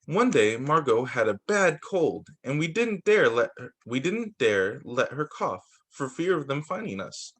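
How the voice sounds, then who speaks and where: A man's voice: mid-range voice, big pitch swings, measured pace, a bit bright, husky. A man reading a children's book aloud as a teacher, occasionally stumbling, repeating phrases and adding casual asides. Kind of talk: children's story time read-aloud.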